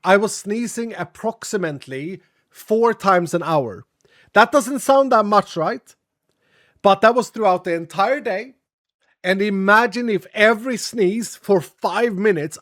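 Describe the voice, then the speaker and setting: Streamer, male, sniffly and raspy from a cold, chatty and a bit dramatic, sits high in his range. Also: fairly bright tone. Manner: chatty, dramatic, thanks viewers often. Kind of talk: casual live-stream chat.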